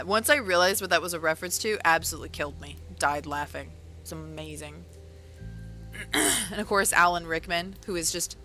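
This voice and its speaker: A woman's voice: fairly low pitch, pushed and bright. A woman chatting casually on a livestream, rambling along with lots of fillers and correcting herself as she goes. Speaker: author and livestreamer.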